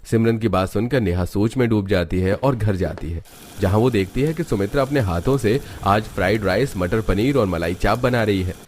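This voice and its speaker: A man's voice: lowish voice, moderate pace, darkish tone. A man narrating a scripted story as a storyteller. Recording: music under the narration.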